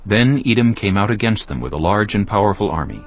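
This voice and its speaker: Very deep-voiced male scripture narrator. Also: lively intonation, quick pace, lo-fi sound, faint music behind. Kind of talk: scripted audio Bible narration.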